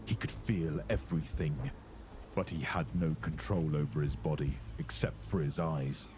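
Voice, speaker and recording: Very deep-voiced, grave male horror narrator. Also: moderate pace, noisy background. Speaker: narrator of horror audio drama. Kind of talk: dramatic scripted storytelling.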